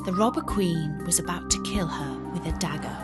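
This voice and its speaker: A woman storyteller reading a children's fairy tale as scripted audiobook narration, measured and expressive. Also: lowish voice, over loud music.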